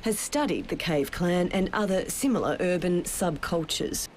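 A woman reporter reading a scripted current-affairs voice-over in a measured, dramatic storytelling way. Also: lowish voice, animated, darkish tone, a little hiss or hum. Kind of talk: scripted news narration. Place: studio voice-over.

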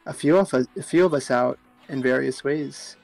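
A man co-hosting a live fighting-game stream, calmly explaining techniques and running the tournament. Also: mid-range voice, moderate pace, creaky, soft background music, poor audio. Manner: even-toned, technical analysis. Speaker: stream co-host and tournament organizer.

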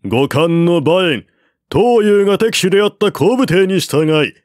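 A man's voice: on the high side, no echo, studio quality, pitched above the performer's own voice. A man reading aloud voices a defiant male general of an ancient army, declaiming his challenge in a raised voice. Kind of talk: audiobook reading of a classical war novel.

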